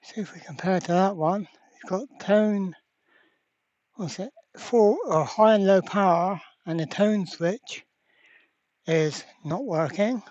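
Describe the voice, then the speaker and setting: Slow talking repair vlogger, blunt and a bit exasperated, muttering thru the job, kinda dull lofi audio. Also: medium pitch, darkish tone, dry recording. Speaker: host of a radio repair channel. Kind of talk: casual hands-on repair vlog narration.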